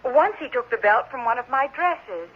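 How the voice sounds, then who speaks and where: A flustered, apologetic foster mother in a vintage radio drama. Her high voice swings widely and she halts and repeats herself. The recording is old and muffled. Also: expressive, slightly rough. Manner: halting, stammering, repeats words. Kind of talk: 1970s radio drama acting.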